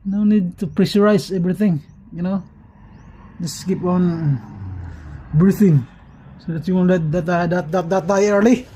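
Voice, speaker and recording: A man's voice: high-pitched, fairly even intonation, normal speed, dark tone, slight background noise, low-quality recording. A Filipino man, Bisaya his first language, rambling philosophically and laughing often in a casual chat to camera.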